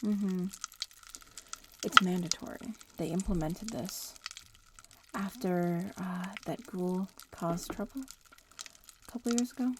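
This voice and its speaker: A woman playing a bratty young witch in training in a soft-spoken ASMR roleplay, casually bossy and teasing but reassuring. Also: lowish voice, slow pace, long pauses, heavy background noise. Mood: instructive. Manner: casual, teasing, matter-of-fact instructions. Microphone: close-up ASMR microphone.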